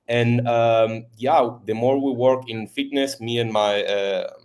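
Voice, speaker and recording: A man from Argentina with an Argentine Spanish accent, a native Spanish speaker, talking as a podcast guest in a halting way with many fillers and repeats. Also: medium pitch, steady tone, darkish tone. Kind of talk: casual interview chat.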